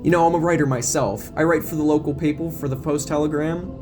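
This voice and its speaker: A man voicing an eager middle-aged woman reporter in an audio drama, stammering and flattering in a flustered way. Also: on the high side, fast, music in the background, close to an everyday voice. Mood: gushing, insistent. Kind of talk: audio drama character acting.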